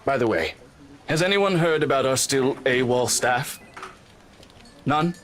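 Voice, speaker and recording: A man's voice: medium pitch, lively intonation, unhurried, a bit bright. Businesslike boss guy, mid-range voice, clipped and formal, game dialogue. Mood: solemn, brisk. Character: businesslike, curt male office boss addressing staff. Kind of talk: visual novel voice acting.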